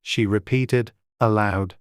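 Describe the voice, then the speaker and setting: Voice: mid-range voice, fairly even intonation, takes it slowly, smooth, dry recording, clean studio sound. An audiobook narrator reading a dramatized storybook.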